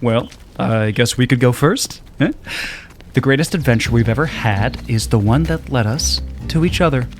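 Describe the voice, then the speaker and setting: A man playing an oblivious, lovestruck young hiker, earnest and excitable, in an audio drama. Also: medium pitch, normal speed, soft background music, natural voice. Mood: earnest, fond.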